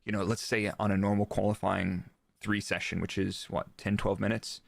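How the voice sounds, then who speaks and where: A man's voice: lowish voice, little pitch movement, moderate pace, creaky, dry recording, clean studio sound. A man giving casual, informal technical motorsport analysis as a guest expert in a live interview. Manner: explanatory, informal, illustrates with quoted remarks. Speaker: guest expert, former Formula 1 performance engineer.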